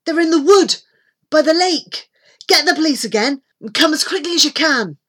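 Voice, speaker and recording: A woman voicing a bold, strong older boy in a storybook, talking in a brisk, commanding way. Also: sits high, pitched above the performer's own voice, lightly acted. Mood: urgent.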